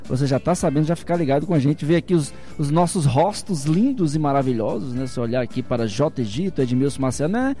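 Chatty high-voiced radio host. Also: male voice, lively intonation, fast talker, hardly any pauses, warm tone, soft background music. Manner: chatty, jokey, repeats himself. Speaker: radio sports show host. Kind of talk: radio chat promoting the show's channel.

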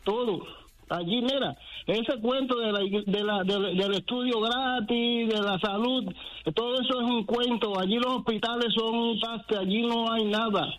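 A Cuban-accented man speaks as a caller on a radio show, his voice high. He is impassioned and emphatic, stacking repetitions, with music behind him. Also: fairly even intonation, measured pace, fairly bright tone, poor audio. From Cuba. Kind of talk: impassioned opinion on a call-in show.

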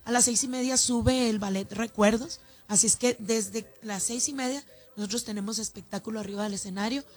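A woman's voice: a little high, a little fast, soft background music. A woman from Ciudad Juárez with a northern Mexican accent, a festival organizer talking animatedly in a radio interview. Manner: animated, chatty, with many restarts. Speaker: festival organizer and councilwoman, guest.

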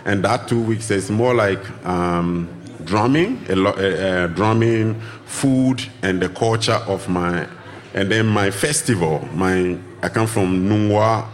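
A man from Ghana who teaches drumming, answering radio interview questions in loose, searching phrases full of restarts. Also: slow. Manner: loose, searching phrasing with restarts, acts out greetings in high voices. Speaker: drumming teacher and tour guide.

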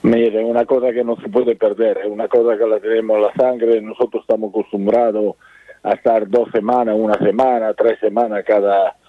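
A man with an Italian accent speaks over a narrow, tinny phone line. His talk is halting and emphatic, and he repeats words as he goes. The sound is dry and close. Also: lowish voice, level tone, dry recording. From born in Italy. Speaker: circus manager. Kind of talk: radio interview over the phone.

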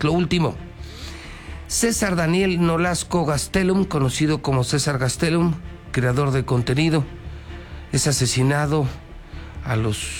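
A man with a Mexican accent presenting radio news with commentary, emphatic and colloquial, often repeating phrases for weight. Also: fairly high pitch. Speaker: news anchor. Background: music.

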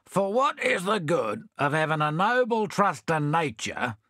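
A man's voice: a little high, sing-song, a little slow, a bit bright, no room sound, clean studio sound, pitched above the performer's own voice, exaggerated voice. A man voicing a grumbling old male koala sailor in a storybook. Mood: indignant. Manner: grumbling, blustering.

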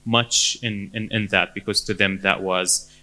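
A man in his thirties with a Syrian accent, answering interview questions in a halting way, with many fillers and restarts. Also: fairly low pitch, level tone, sharp tone. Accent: Syrian Arabic. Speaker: interview guest.

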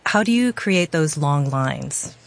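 A woman's voice: low voice, expressive, slow, vocal fry. A woman hosting a radio broadcast, moving between scripted links and interview questions.

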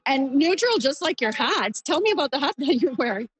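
A woman field reporter, lively and upbeat, reporting live from an outdoor street viewing party with a crowd behind her. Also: high voice, brisk, rough recording.

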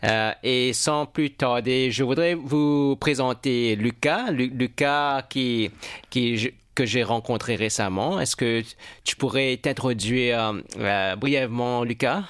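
A man hosting a community radio interview in a studio, measured with small hesitations. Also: medium pitch, a bit bright, clean tone, no echo. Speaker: radio host.

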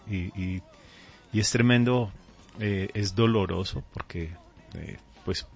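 A middle-aged man with a Colombian accent, speaking as a pastor in a radio interview in a deliberate, earnest way. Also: on the low side, creaky. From Colombia, raised there from age two. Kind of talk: radio interview, conversational testimony. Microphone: studio microphone. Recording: dull, band-limited radio audio.

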